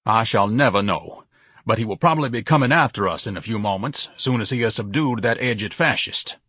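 A man's voice: on the low side, fairly quick, fairly bright tone, slightly rough, no room sound, studio quality. A man voicing a pompous, hypochondriac, very large grown son, grandiose and complaining, in an audiobook. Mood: haughty. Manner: grandiose, over-formal, complaining. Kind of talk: audiobook character voice.

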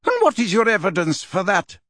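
A man's voice: high-pitched, very animated, fairly quick, a bit bright, no echo, studio quality, pitched above the performer's own voice, heavily acted. A man doing a silky, sickly-sweet woman official. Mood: smug. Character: simpering sugary woman official, cruel underneath. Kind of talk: audiobook character voice.

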